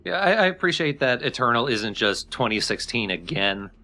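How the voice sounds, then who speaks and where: A man's voice: medium pitch, sing-song, moderate pace, sharp tone. A man co-commentating on a let's play, giving considered opinions in a casual chat.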